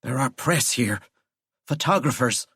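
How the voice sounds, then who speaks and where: A man's voice: mid-range voice, animated, a little slow, a bit bright, breathy edge, dry recording, studio quality, full character voice. A man voicing a big, thin-skinned, bullying president in an audiobook, with a curt, tense bluster. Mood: tense, defensive. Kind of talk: audiobook character voice.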